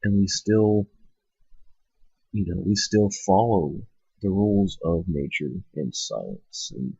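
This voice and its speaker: A man's voice: low-pitched, slow, vocal fry, darkish tone, breathy edge, dry recording. A man with a cold and a stuffed-up nose hosting his own show, ranting bluntly and profanely, rambling with lots of fillers. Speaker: host. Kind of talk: ranting monologue. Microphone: cheap microphone. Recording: lo-fi home setup.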